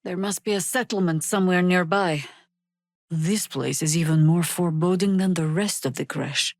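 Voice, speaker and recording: An actress playing a seasoned older female druid warrior in a fantasy video game, measured, wry and commanding. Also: lowish voice, no room sound, clean studio sound. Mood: grave, measured.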